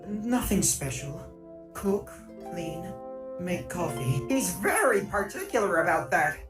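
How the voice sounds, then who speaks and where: A woman's voice: fairly low pitch, sing-song, takes it slowly. A woman voicing a polite, servile robot henchman with a prim, formal manner. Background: music score.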